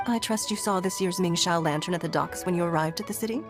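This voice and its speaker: A woman's voice: on the low side, quick pace, natural voice. A woman playing an elegant, courteous stateswoman in a video game, formal, poised and gracious. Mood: poised, businesslike. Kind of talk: video game character acting. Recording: soft music behind the voice.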